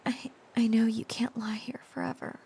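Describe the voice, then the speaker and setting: A woman playing a tender, protective dragon-blooded girlfriend in an intimate romantic audio roleplay, halting and earnest, often slipping into whispers. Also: fairly high pitch, flat delivery, creaky, dark tone, slightly rough, full character voice. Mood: tender, adoring. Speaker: voice actor.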